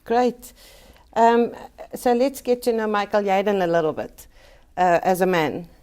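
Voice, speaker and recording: A woman working in HR, calmly asking prepared interview questions. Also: mid-range voice, a little slow, clean tone. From South Africa. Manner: composed, reads prepared questions. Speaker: HR business partner, interviewer.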